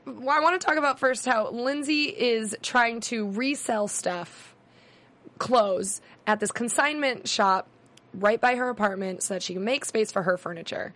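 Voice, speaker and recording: A young woman hosting a casual recap show, a bit hoarse, talking quickly and chattily. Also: on the high side, fairly bright tone. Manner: chatty, quick asides, laughing. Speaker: host. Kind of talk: casual chat.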